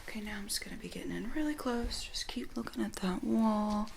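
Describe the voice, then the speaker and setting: A woman speaking softly and gently as a doctor in an ASMR checkup roleplay, often slipping into a whisper, close to the microphone. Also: medium pitch, fairly quick, creaky, a little hiss or hum. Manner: soft-spoken, gentle, often dropping into whisper. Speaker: ASMR performer playing a doctor. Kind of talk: soft-spoken ASMR medical roleplay.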